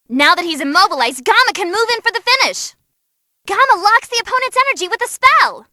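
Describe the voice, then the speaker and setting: A woman voicing an excitable, sassy young female ring announcer in a game, calling fights with theatrical, wisecracking commentary. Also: very high-pitched, dry recording, clean studio sound, heavily acted. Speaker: match announcer.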